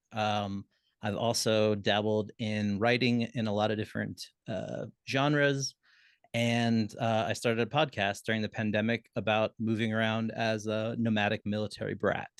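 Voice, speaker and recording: A man's voice: on the low side, fairly even intonation, moderate pace, clean tone, no echo, clean studio sound. A middle-aged man casually introducing himself as a panelist on a video call. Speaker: panelist, lighting designer.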